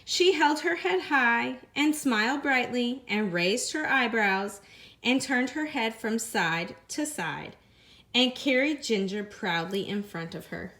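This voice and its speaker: A woman teacher, reading a picture book aloud to her students in an expressive way, slowing down on repeated phrases and lifting her voice for the characters' lines. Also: fairly high pitch. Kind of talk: read-aloud storytelling.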